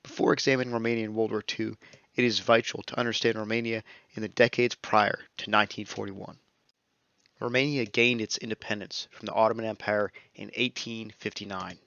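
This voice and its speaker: A young man reads scripted history narration at a steady, measured pace. His low voice has a gravelly, bright edge. The recording is dry and a little dull, like a lo-fi voice-over mic. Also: dry recording. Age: young adult. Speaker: student narrator.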